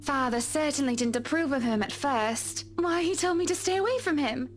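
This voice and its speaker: Woman to play a confident, refined society lady; high-pitched, prim diction. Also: normal speed, slight background noise, natural voice. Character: poised, genteel wealthy woman, confident and fond. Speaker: game character. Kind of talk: voiced visual novel dialogue.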